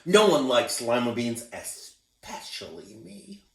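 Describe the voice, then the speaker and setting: A man's voice: medium pitch, sing-song, slow pace, echoey room. A man voicing a stubborn, emotional young schoolgirl in a storybook read-aloud. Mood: insistent.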